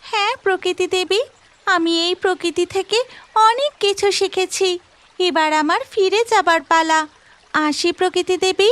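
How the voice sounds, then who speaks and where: A woman's voice: very high voice, steady tone, a little slow, a bit bright. A woman voicing a cheerful young tailor fairy, polite and full of exclaiming wonder, in a children's cartoon. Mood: polite, eager.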